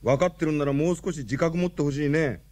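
An actor playing a talkative, excitable young man in a radio drama, knowing, protective and a little exasperated. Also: a little high, fast talker, close to an everyday voice. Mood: admonishing.

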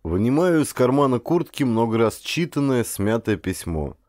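A man reading first-person literary fiction as an audiobook, with a dramatic, deliberate storytelling delivery. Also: medium pitch, very animated, a little mellow, dry recording, studio quality. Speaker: audiobook narrator, actor.

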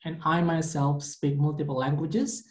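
A young man whose first language is Indonesian, a PhD candidate giving a measured conference presentation with occasional fillers. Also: on the high side, little pitch movement, a little mellow, echoey room. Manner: careful, measured academic delivery with some fillers. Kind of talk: recorded conference presentation over slides.

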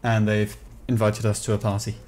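A man's voice: fairly low pitch, monotone, fairly quick, a little mellow, slight background noise. A man hosting a group roleplay game, switching between commentary to viewers and a playful, theatrically polite in-character voice. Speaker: roleplaying gamer and channel host.

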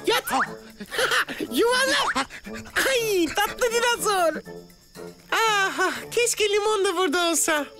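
A woman voicing an excitable, soft-hearted cartoon child character with a dramatic, exclamatory delivery. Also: slow, exaggerated voice. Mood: delighted. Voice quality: very high, childlike. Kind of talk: cartoon voice acting. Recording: loud music behind.

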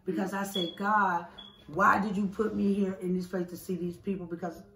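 A woman's voice: medium pitch, flat delivery, moderate pace, fairly bright tone, echoey room, recorded from a distance, slight background noise, low-quality recording. A woman telling personal stories in an emphatic, profane, repetitive way in a casual street interview. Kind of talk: casual emotional personal storytelling.

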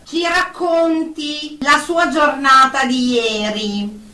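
A woman's voice: high voice, fairly even intonation, slow pace, pushed and bright, exaggerated voice. A woman acting a stern, bossy therapist in a radio drama, speaking in a formal, clinical, scolding way. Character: stern, bossy female therapist running group session. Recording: roomy, distant mic, faint noise.

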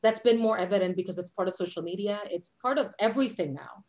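A woman from Puerto Rico in her forties talks with lively hand gestures over a video call from a dim home office. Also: mid-range voice, fast. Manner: animated, gestures a lot with her hands. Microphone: webcam on a video call.

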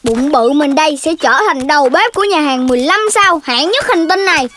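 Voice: high-pitched, animated, a little fast, sharp tone, a little hiss or hum. An actor voicing a greedy, cheeky little boy piglet with a blunt, teasing manner in a children's cartoon. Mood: cheeky, boastful. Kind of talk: children's cartoon dubbing in Vietnamese.

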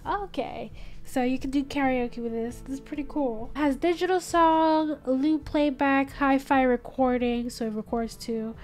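Bubbly high-voiced young woman reviewer. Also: fairly even intonation, measured pace. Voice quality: soft voice. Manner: bubbly, chatty, frequent gasps and laughs. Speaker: product reviewer. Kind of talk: casual product review. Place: small home studio room, indoors. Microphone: studio mic on boom arm.

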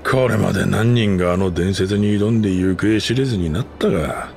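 A man voicing a gruff, grumbling tavern customer trading rumours in a video game. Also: low-pitched, a little fast, darkish tone, soft background music. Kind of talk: video game cutscene acting.

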